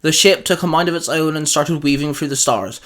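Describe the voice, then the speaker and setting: Guy reading an action story out loud, dramatic narrator, voice a bit on the high side. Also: a little fast.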